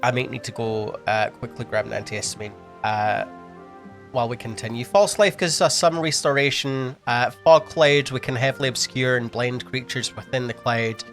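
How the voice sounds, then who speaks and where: A sniffly man of ordinary pitch muses through his gameplay choices, hesitating and filling pauses as he thinks aloud. His casual commentary sits over faint background music. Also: fairly even intonation, a bit bright. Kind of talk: casual let's-play commentary.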